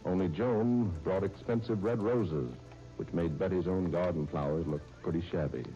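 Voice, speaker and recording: Male 1930s American announcer; low, warm, measured narration, muffled broadcast with music behind. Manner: formal, measured, polished delivery. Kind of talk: 1930s radio scripted narration.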